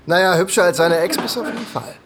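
An actor playing a sleazy, flirty macho from a martial arts club, taunting and leering, in a naturalistic radio drama. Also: male voice, high-pitched, quick pace, noisy background, lightly acted. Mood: cocky, flirty.

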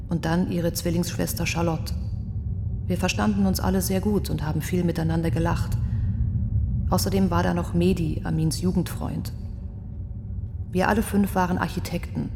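A young female survivor testifying in a radio drama, grieving and halting. Her voice is low and creaky, with very little melody. She keeps herself restrained. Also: fairly even intonation, noisy background. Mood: tender, wistful. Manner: halting, restrained, hushed in places. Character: grieving young woman architect, survivor of a terror attack. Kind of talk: radio play testimony monologue. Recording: long reverberant hall, music underneath. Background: music.

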